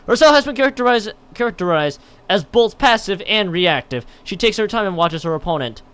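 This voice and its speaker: A man with a clear, smooth, fairly high voice reads a game story aloud on a livestream. His delivery swings widely in pitch, and he trips over the text and drops in casual exclamations of his own. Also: lively intonation, brisk, fairly bright tone. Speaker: game streamer. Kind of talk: livestream read-aloud of a visual novel with casual reactions.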